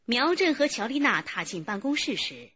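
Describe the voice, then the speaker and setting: Female radio drama narrator, animated, highish voice, scripted storytelling, loud music behind. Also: moderate pace, breathy edge, no echo, dull audio.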